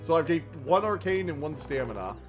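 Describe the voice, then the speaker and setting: Excitable streamer, higher voice, game music. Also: male voice, fairly quick. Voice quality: self-described whiny voice. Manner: excitable, laughs often, jumps between reading and chatting. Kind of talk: casual let's-play commentary, reading game text aloud.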